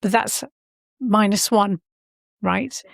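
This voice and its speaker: A middle-aged woman talks in an earnest, reflective way, often pausing to restart and correct herself mid-sentence. Her voice carries a gravelly edge of fry. The recording is dry and close, with little room sound. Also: mid-range voice, no room sound. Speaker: podcast guest, science and healthcare leader. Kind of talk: reflective interview conversation.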